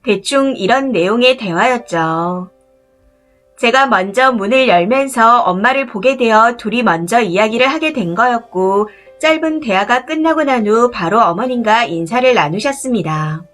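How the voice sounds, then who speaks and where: A woman narrating a first-person story in a calm, even scripted read. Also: a little high, moderate pace. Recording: soft music behind the voice. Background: faint background music.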